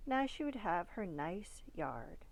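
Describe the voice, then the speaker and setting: A woman reading a children's storybook aloud expressively, with dramatic emphasis that softens at phrase ends. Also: fairly low pitch, sing-song, takes it slowly, creaky. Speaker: storybook reader.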